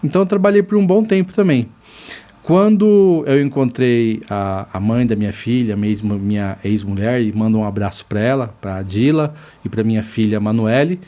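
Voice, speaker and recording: A man's voice: fairly low pitch, moderate pace, warm tone. A man in his forties from São Paulo, a lawyer guest on a podcast, telling his life story in a rambling, grateful way. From São Paulo, Brazil. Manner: rambling, grateful, self-correcting. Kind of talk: casual interview storytelling.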